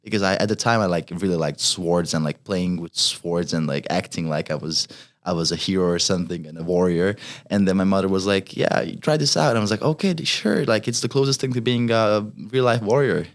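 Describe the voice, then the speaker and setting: A man's voice: low-pitched, brisk. A young man in his twenties from Hungary with a Hungarian accent, a college fencer talking as a guest, hesitant with many fillers and restarts. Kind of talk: casual interview chat.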